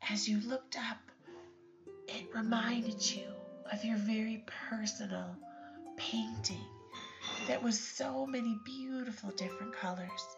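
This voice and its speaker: A woman guiding a bedtime sleep story for children, talking softly and soothingly, often in a whisper. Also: mid-range voice, gravelly. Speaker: bedtime meditation guide. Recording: slightly dull recording with lullaby music.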